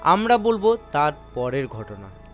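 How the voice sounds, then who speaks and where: A man reading a horror story as narrator, with deliberate, theatrical, suspenseful delivery. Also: fairly high pitch, very animated, lo-fi sound, music in the background.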